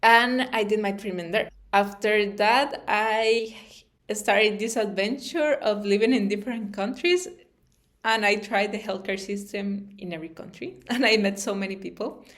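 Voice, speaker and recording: A woman with a Costa Rican Spanish accent and a mid-pitched voice talks informally to her viewers. She is earnest, and her English phrasing is plainly that of a non-native speaker. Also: normal speed, a bit bright. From Costa Rica. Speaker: YouTube channel host and interviewer. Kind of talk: informal personal talk to camera.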